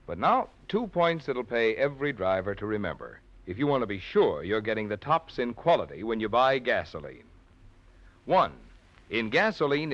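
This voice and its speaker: A man's voice: medium pitch, fairly bright tone. A male announcer reading a 1940s radio advertisement in a polished, emphatic, persuasive way. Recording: muffled old radio recording.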